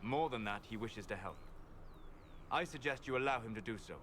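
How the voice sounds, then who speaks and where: An actor playing a calm, learned renegade mage who explains things in a measured, precise way for a video game. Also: male voice, medium pitch, slight background noise, close to an everyday voice. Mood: calm, scholarly.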